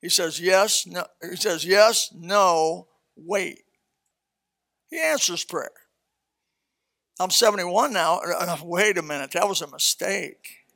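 Older male preacher, 70, high bright voice, folksy and blunt. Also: slow pace, creaky, pauses often. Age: 70s. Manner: folksy, blunt, conversational with scripture reading. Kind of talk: casual sermon, Bible exposition.

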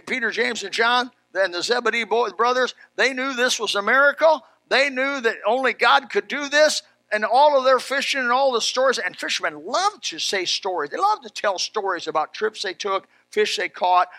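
A male preacher with a high, forceful voice delivers a Bible sermon. His manner is folksy and emphatic, repeating key phrases for weight. The sound is close and dry. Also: normal speed, hardly any pauses, no echo. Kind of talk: animated Bible sermon with personal anecdotes.

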